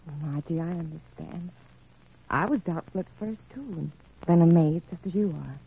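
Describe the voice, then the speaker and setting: Casting: woman playing kind, encouraging young woman, slightly low and husky, reassuring vintage radio acting, tinny recording. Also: normal speed, slight background noise, natural voice. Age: young adult. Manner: gentle, reassuring. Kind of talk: old-time radio drama acting. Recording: tinny, band-limited old radio recording.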